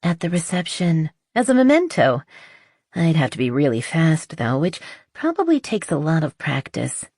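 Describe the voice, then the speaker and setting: A spirited woman artist voiced by an audiobook narrator, talking in a frank, animated, playful way. She is chatty and a little stubborn. The recording is dry and intimate. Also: deep voice, moderate pace, husky, no room sound, exaggerated voice. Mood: playful, wry. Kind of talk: audiobook character dialogue.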